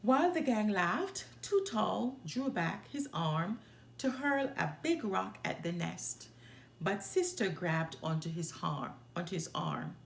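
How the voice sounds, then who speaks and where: A woman reading a children's storybook aloud as an expressive narrator. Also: medium pitch, slow, creaky, reverberant, slight background noise. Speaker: storyteller, children's book reader.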